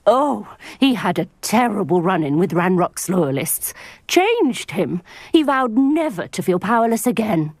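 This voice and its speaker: A woman's voice: medium pitch, big pitch swings, unhurried, darkish tone, no echo. An actress playing a worried older witch villager in a video game, earnest and gossipy, with a British accent. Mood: worried, confiding.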